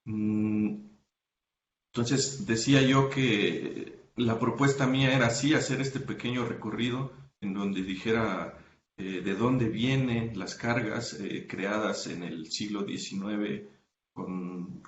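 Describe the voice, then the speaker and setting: A man being interviewed as a researcher, explaining his academic work carefully, pausing often with "eh" and correcting himself mid-sentence. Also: lowish voice, roomy sound, highs cut off, a little hiss or hum. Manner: thoughtful, many hesitations and self-corrections. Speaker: guest researcher.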